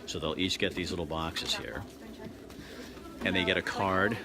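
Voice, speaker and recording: A man's voice: low-pitched, steady tone, normal speed, gravelly. A man hosting an on-location interview, asking friendly, joking questions with enthusiasm. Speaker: TV host, roving reporter. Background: crowd chatter.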